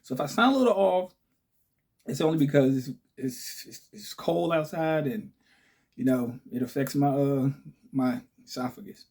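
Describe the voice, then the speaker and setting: Raspy-voiced man, chatty vlog host. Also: fairly high pitch, moderate pace, darkish tone. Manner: chatty, repeats points with "okay?" and "right?". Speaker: channel host. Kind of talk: casual direct-to-camera talk.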